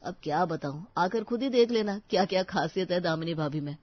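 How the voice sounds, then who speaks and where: Woman doing a young groom, low voice, casual n teasing, family story, bit dull n dry. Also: lively intonation, fairly quick, no room sound. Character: young bridegroom, cautious, teasing, easygoing son. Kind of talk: dramatized family storybook dialogue.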